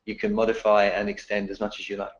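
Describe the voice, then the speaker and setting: A man with a croaky voice answering technical questions in a webinar, explaining in a steady way. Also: fairly low pitch, quick pace, roomy sound, lo-fi sound.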